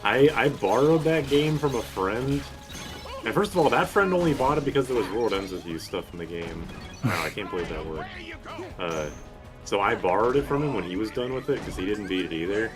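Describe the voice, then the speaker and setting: A man's voice: fairly low pitch, expressive, a little fast. A man giving casual, rambling gameplay commentary with some swearing. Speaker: video game streamer. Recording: game music behind the voice. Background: video game music.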